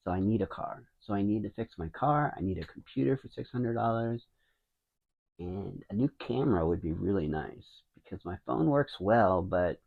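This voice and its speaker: A man in his fifties with a low, quiet voice, who mumbles and rambles with many restarts as he talks to camera. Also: expressive, moderate pace, gravelly, phone-quality sound. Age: 50s. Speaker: vlogger. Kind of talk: casual talking to camera. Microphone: wireless mic into a phone.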